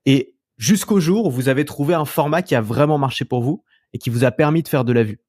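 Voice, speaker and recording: A man hosts a casual podcast interview, probing his guests and pausing on fillers as he thinks. His voice sits at an ordinary pitch. The sound is dry and close. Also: darkish tone, no echo. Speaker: podcast host.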